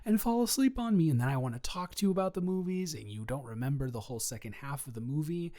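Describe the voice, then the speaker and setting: A man playing a flustered young best friend in love, halting and self-deprecating, in an improvised romantic audio roleplay. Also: on the high side, expressive, mellow, lightly acted. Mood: playful teasing.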